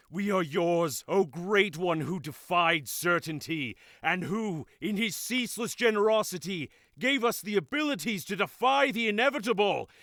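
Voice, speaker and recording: A man's voice: high-pitched, fairly bright tone, no echo, studio quality, exaggerated voice. A man playing a fervent cult leader in an audio drama, solemnly declaiming a reverent invocation. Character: fervent male cult leader praying at a dark altar.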